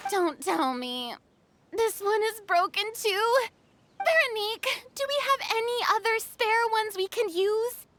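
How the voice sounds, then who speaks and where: A woman's voice: very high-pitched, animated, unhurried, fairly bright tone, slight background noise, exaggerated voice. A woman voicing a flamboyant, bossy, self-important young film director in a video game, with theatrical, commanding delivery. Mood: alarmed, exasperated. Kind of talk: acted video game dialogue.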